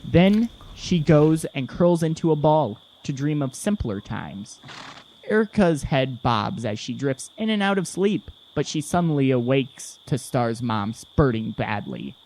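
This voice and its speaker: A man's voice: mid-range voice, animated, measured pace, darkish tone, a little hiss or hum, rough recording. A man reading scripted narration for an audio drama in the present tense.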